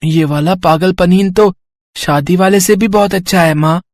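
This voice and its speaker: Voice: mid-range voice, normal speed, darkish tone, natural voice. A performer voicing an innocent, eager little village boy in cartoon story dialogue. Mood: eager, delighted.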